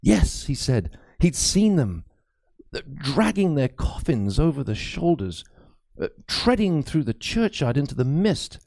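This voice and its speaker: A man's voice: fairly high pitch, big pitch swings, unhurried, vocal fry, darkish tone, no room sound, heavily acted. A man voicing a frightened, fervent medieval priest in an audiobook, pleading and stammering with dramatic urgency. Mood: dread. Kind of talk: dramatic audiobook character acting.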